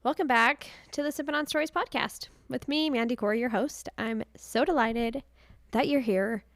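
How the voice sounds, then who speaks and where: A woman hosting a podcast from her home, chatty and upbeat, her voice a little raspy after a cold. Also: fairly high pitch, normal speed, no room sound, studio quality. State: recovering from a cold. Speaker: podcast host. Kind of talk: casual podcast chat.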